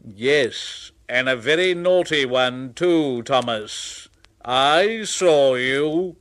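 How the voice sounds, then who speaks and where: Man doing a stern portly railway boss, scolding, slow and measured, storybook voice. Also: medium pitch, lively intonation, a bit bright.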